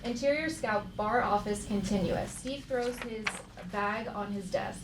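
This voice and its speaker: A woman's voice: medium pitch, unhurried. A woman reading stage directions as scripted narration at a live comedy table read. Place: live theater. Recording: echoey theater, clear background noise.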